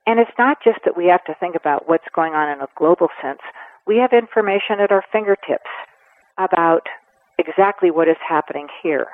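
A woman in her seventies giving a radio interview, talking earnestly and with emphasis, pausing to weigh her words. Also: on the low side, no room sound. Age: 70s. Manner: earnest and emphatic, with measured pauses. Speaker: conservation advocate guest. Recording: tinny phone line, dry.

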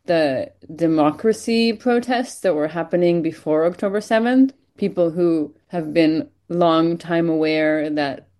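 A woman's voice: sits low, measured pace, hardly any pauses, warm tone. A woman born and raised in Israel, speaking earnestly as an event organizer in an interview, with frequent fillers. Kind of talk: casual interview answers.

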